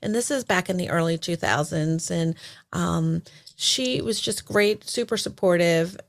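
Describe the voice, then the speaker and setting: A woman's voice: lowish voice, measured pace, gravelly. A woman from El Salvador, a certified life coach, talking reflectively in a casual interview with many fillers and restarts.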